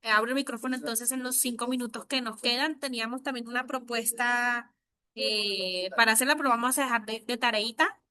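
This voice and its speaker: A woman moderating an online workshop over a video call, in a friendly and relaxed way. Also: fairly high pitch, fairly quick, no room sound.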